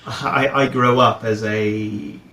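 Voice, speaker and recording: Low-voiced man in an echoey room. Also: fairly even intonation, slow pace, creaky, pushed and bright. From New Zealand. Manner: rambling, many fillers and restarts. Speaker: guest, head of procurement. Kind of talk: casual interview chat.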